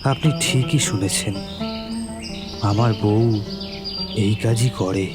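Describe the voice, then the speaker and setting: A man playing an older, well-off gentleman in an audio drama, courteous yet defensive and disdainful, speaking deliberately. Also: lowish voice, loud music behind the voice.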